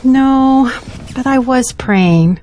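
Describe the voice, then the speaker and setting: Slow-spoken, gentle churchgoer. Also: female voice, high voice, slight background noise. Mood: disappointed, wistful. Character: gentle, hopeful churchgoing woman. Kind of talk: audio drama acting.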